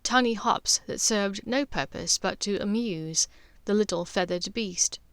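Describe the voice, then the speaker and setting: A woman's voice: mid-range voice, a little slow, a bit bright, clear, resonant tone, no room sound. A woman reading scripted fiction as an audiobook narrator. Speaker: author and narrator.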